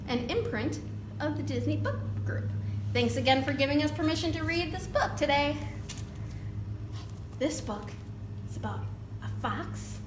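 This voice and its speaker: A woman hosting a children's storytime, reading aloud in an animated, exaggerated way and prompting young listeners with questions. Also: high-pitched. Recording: roomy echo with background noise.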